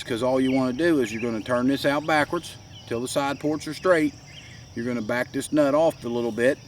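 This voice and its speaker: Hoarse congested man demonstrating in his shop. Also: medium pitch, recorded from a distance, a little hiss or hum. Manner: folksy, plain-spoken, explains step by step. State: hoarse, congested with a head cold. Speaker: gunsmith demonstrating a product. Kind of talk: casual workshop demonstration.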